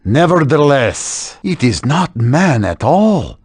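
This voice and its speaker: A slow, old man. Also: medium pitch, very animated, a little mellow, breathy edge, no echo, clean studio sound, heavily acted. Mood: explanatory. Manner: deliberate, article-dropping broken English. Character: gruff foreign old man, learned craftsman of magic. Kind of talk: video game voice acting.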